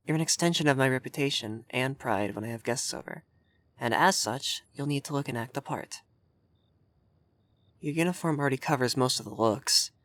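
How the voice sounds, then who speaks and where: A man's voice: mid-range voice, fairly bright tone, lightly acted. A man voicing a haughty, flustered, effeminate vampire lord in a scripted ASMR roleplay, prim and bossy in manner.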